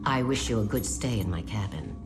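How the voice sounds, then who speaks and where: An actress playing a sinister elderly woman in a video game, speaking slowly and ominously with insistence. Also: rumbling low, over music. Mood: quietly menacing.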